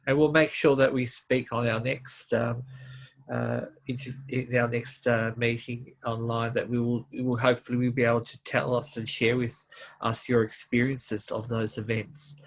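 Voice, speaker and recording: A man hosting an interview series, speaking haltingly and searching for words. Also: mid-range voice, little pitch movement, rough recording. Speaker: interview host.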